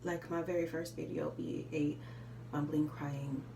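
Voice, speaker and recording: Soft-spoken woman, chatty, in a roomy space. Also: low voice, level tone, unhurried, creaky, background noise. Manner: chatty, rambling, with frequent ums and you knows. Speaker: vlogger. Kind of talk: casual chat while doing makeup. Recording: roomy, slightly distant home recording.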